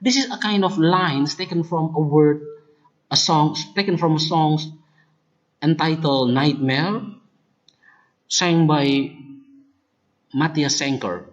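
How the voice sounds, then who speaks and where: A speaker with an Indonesian accent whose first language is Kupang Malay, presenting research findings in a deliberate, explanatory way. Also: medium pitch, highs cut off. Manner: deliberate and explanatory, often starts points with "look" or "now". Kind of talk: academic presentation on language errors.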